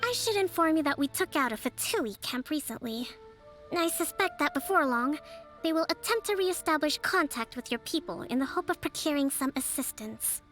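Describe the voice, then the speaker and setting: Brief: polite young strategist, high voice, measured and unflappable. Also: female voice, animated, fairly bright tone, soft background music. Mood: composed. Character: young woman strategist, calm and composed leader. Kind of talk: game voice acting.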